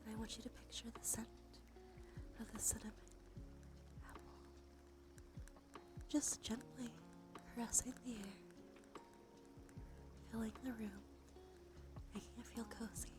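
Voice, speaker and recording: Woman, whispered ASMR, slightly stuffy nose, gentle unhurried phrasing, breathy murmur, music behind. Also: fairly high pitch, fairly even intonation. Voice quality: breathy whisper mixed with soft voice. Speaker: ASMR streamer, host. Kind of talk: ASMR, soft intimate role play and rambling. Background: soft music.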